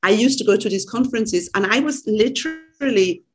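A woman's voice: mid-range voice, a little fast, darkish tone. A woman, a podcast guest chatting casually with frequent restarts and fillers. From Switzerland. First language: German. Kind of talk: casual conversation.

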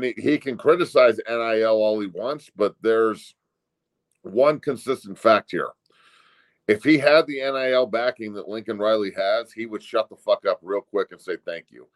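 A man in his forties with an American accent, co-hosting a sports show with emphatic, profanity-laced casual commentary. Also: lowish voice. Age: 40s. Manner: emphatic, blunt, incredulous ranting.

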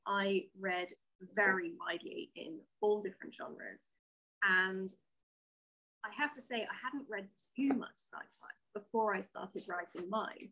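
Husky woman in conversation. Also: medium pitch, sharp tone, lo-fi sound. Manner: candid, opinionated. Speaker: interview guest, sci-fi writer. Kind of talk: conversational interview, explaining.

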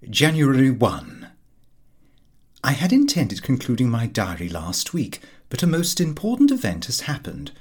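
A man narrates a comic diary with very lively, swooping intonation. His voice is husky and sits at a middling pitch, in the expressive style of an audiobook reader.

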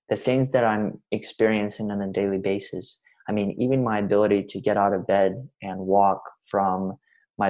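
A man's voice: on the low side, a little mellow, low-quality recording. A man in his twenties, originally from Russia, talking earnestly in long, winding sentences as a podcast guest. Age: 20s. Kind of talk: reflective interview talk.

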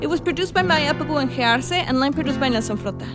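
Guitar music plays prominently behind a woman with a very high voice. She reads scripted podcast credits as an announcer at a quick pace. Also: expressive.

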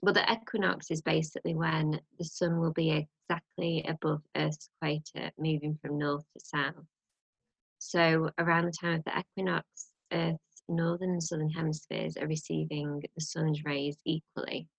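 Low, gravelly British woman co-hosting. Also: level tone, normal speed, dry recording, highs cut off. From Britain. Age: young adult. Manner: chatty, rambling with fillers. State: slightly croaky with a cold. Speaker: podcast co-host. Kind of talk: casual chat.

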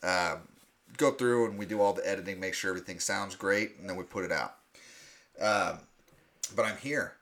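A man's voice: low-pitched, moderate pace, pauses often, fairly bright tone. A man hosting a casual podcast, rambling with lots of fillers and easy laughs. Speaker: podcast host.

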